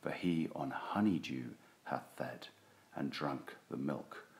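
A man giving a solemn, theatrical recitation of classic poetry, with deliberate phrasing. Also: extremely low pitch, gravelly, darkish tone. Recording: roomy, echoing sound.

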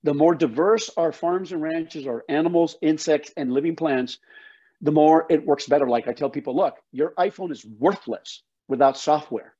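Older guy teaching on a video call, husky mellow voice, kinda high, folksy and emphatic, bit muffled. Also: normal speed. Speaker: guest, soil health educator. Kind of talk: passionate explanatory interview talk. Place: home office, indoors. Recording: slightly dull video call audio.

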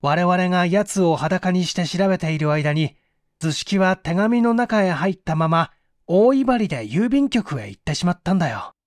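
A man voicing a hot-headed, sharp-reasoning man in an audiobook mystery, speaking forcefully and emphatically. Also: high-pitched, animated, dry recording, studio quality. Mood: insistent reasoning. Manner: forceful, emphatic, quick to conclude.

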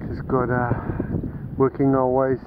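A man on a jet ski at sea, giving unhurried commentary to his action camera as he fishes, thinking aloud between pauses. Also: medium pitch, monotone. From Australia. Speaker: jet ski angler filming his trip. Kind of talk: casual running commentary while fishing. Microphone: action camera mounted on the jet ski. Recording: muffled action-cam audio, loud wind and water. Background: wind, waves and engine noise.

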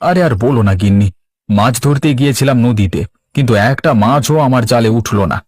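A man's voice: medium pitch, a little fast, darkish tone, natural voice. A man voicing a dejected but patient village fisherman in a cartoon, with a plaintive, complaining manner. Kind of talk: Bengali cartoon voice acting.

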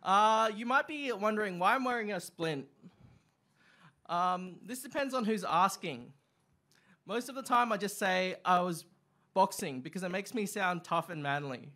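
A young man performing open mic stand-up, with a halting delivery full of ums and uhs, on a small club stage. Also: high voice, bright, forceful tone. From Australia. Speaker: stand-up comic. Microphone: stand mic, far camera.

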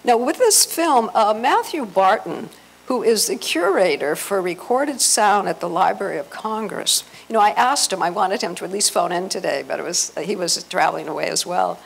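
A middle-aged American woman giving an informal, digressive talk as a presenter, halting as she goes. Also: medium pitch, animated, gravelly, breathy edge. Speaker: presenter at a convention. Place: hotel meeting room with seated audience. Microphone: handheld microphone. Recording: noisy hotel meeting room.

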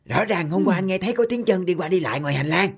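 A woman voicing a grumpy, suspicious older-brother pencil in a cartoon, with a husky voice. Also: on the low side, animated, fast talker.

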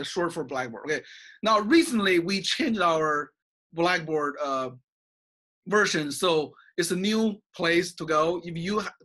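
A man's voice: high-pitched, pushed and bright. A middle-aged man from China with a Chinese accent, a college professor recording an online class lecture in his office over a webcam, tagging his sentences with "okay?" and many "uh" fillers. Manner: frequent "okay?" and "uh" fillers, gesturing while talking. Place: small office with bookshelves. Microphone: webcam video call at desk.

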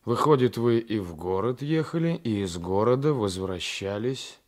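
An actor as an officious, probing village guard. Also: male voice, on the low side, expressive, normal speed, darkish tone, natural voice. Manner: questioning, insistent. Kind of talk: Soviet radio play acting. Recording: 1980s radio drama recording.

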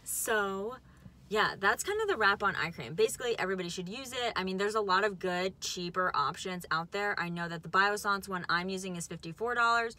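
A woman's voice: mid-range voice, lively intonation, brisk, pushed and bright. A young woman in her twenties, chatting casually and giving upbeat advice from a parked car. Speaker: co-host. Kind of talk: casual chat. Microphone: phone camera on dashboard.

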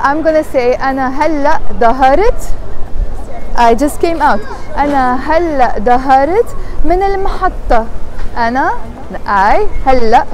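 A woman in her thirties giving a vlog language lesson, talking softly and a little shyly, first in a train station and then on a busy street, into an earphone mic. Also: high voice, a little hiss or hum. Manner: soft-spoken and shy, then louder and brighter outdoors. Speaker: language teacher. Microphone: earphone headset mic.

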